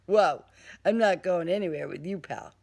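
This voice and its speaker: A woman's voice: lowish voice, moderate pace, creaky, darkish tone. A woman playing a blunt, slangy, sarcastic heroine who stands her ground in a crime audiobook. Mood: angry, defiant. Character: defiant, sarcastic streetwise woman working for police.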